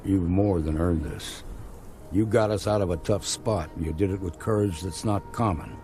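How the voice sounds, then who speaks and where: A man's voice: deep voice, normal speed, warm tone, faint music behind, close to an everyday voice. An actor playing a folksy, hearty frontier lawman in a video game, talking in a plain-spoken, approving way. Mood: amused, approving.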